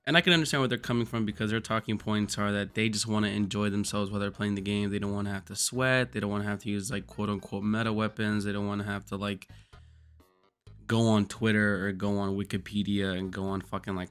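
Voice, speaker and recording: A man with a hoarse voice from screaming, giving rambling, profane, jokey gaming commentary as a streamer. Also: on the low side, fairly bright tone.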